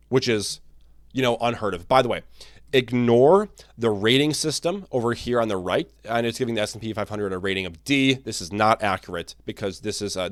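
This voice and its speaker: A man hosts his own market commentary show, his voice a touch raspy from a long day of talking. His delivery is energetic and lively, with pitch swinging widely as he pushes through his points. The sound is dry and close, with little room around it. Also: no room sound. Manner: energetic, animated, restarts and fillers. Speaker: crypto channel host. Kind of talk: casual market commentary to camera.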